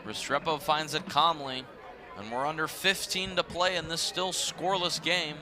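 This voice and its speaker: A man's voice: fairly high pitch, fairly even intonation, normal speed, a crowd in the background. A man calling live sports play-by-play in a live commentary style. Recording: noisy live stadium broadcast. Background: stadium ambience.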